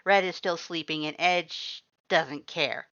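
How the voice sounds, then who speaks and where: A woman voicing a tall, loud, worried male skeleton who exclaims fretfully. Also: on the low side, steady tone, slow, dry recording, dull audio. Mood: awkward.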